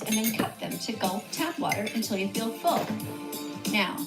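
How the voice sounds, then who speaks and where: Woman narrator, high and lecturing, roomy sound, loud music behind. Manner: firm, preachy, lecturing. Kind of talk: scripted advice narration.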